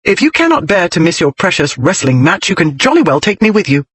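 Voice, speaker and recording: A man's voice: high-pitched, very animated, brisk, fairly bright tone, husky, dry recording, voice pushed up, exaggerated voice. Man putting on a defiant young noblewoman. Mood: indignant. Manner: pert, insistent, rising to anger.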